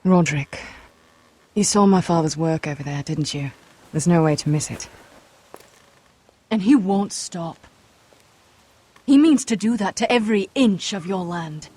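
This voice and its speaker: A woman voice acting a guarded, earnest young noblewoman in a fantasy game drama, speaking in grave, measured, formal phrases. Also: lowish voice, warm tone, husky. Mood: wary.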